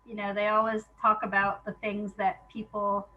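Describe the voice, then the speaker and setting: A woman's voice: mid-range voice, monotone, moderate pace, highs cut off. A woman answering questions as an interview guest, chatting informally and thinking aloud. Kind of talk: casual chat.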